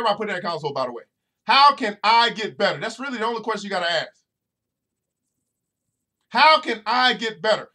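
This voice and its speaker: High, bright-voiced man preaching. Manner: preachy, repeats phrases for emphasis, talks to listeners directly. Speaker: financial educator and podcast host. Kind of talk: motivational podcast talk.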